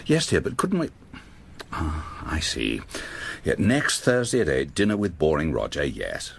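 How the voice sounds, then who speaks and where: Urbane English doctor, lively intonation. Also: male voice, rumbling low, a little slow, creaky, breathy edge, heavily acted. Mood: dryly exasperated. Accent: British. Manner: courteous, dry, wry. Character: urbane, dryly witty English male hospital doctor. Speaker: actor playing a hospital doctor. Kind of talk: dramatised audio performance.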